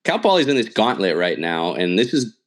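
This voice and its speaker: A man's voice: lowish voice, big pitch swings, fairly quick. A man who works as a sports announcer, talking casually and analytically as an interview guest. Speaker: radio play-by-play sports announcer, podcast guest.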